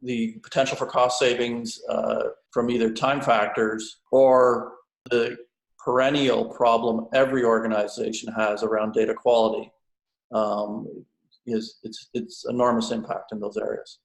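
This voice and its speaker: Gravelly male expert in echoey room. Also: mid-range voice, flat delivery, a little slow. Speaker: industry expert interviewee. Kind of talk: explanatory interview answers. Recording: roomy, echoey sound.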